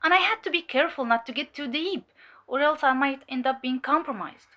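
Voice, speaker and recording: A woman playing a weary, sharp undercover cult infiltrator giving hesitant, measured testimony in an audio drama. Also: high voice. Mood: uneasy, explanatory.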